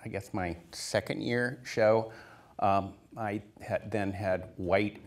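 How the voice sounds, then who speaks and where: A man in his fifties with a lowish voice speaks on a theater stage panel. He rambles through his anecdotes, full of "uh" and "you know", holding a handheld mic. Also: steady tone, slow pace. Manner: rambling, many fillers and restarts. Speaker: production designer on a panel. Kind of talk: casual storytelling on a panel. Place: theater stage before an audience.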